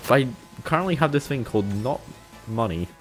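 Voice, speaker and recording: Young man, Australian accent, casual streamer chat, lots of fillers, middle pitch, faint background music. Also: very animated, brisk, poor audio. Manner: rambling, chuckles often, lots of fillers. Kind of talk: casual chat with livestream viewers.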